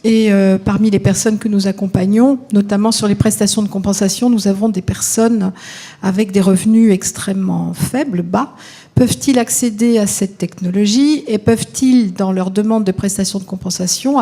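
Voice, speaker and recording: Polite woman with a warm voice asking a question. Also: mid-range voice, creaky. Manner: polite, formal, measured. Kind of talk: audience question at a conference.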